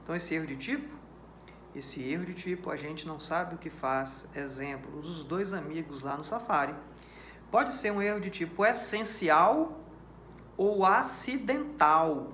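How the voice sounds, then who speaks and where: A man's voice: a little high, lively intonation, measured pace, long pauses, a bit bright, echoey room, slight background noise. A Brazilian man teaching criminal law in a lecture, explaining points step by step, repeating key ideas and checking that listeners follow. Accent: Brazilian Portuguese. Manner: didactic, repeats key points, checks understanding with rhetorical questions.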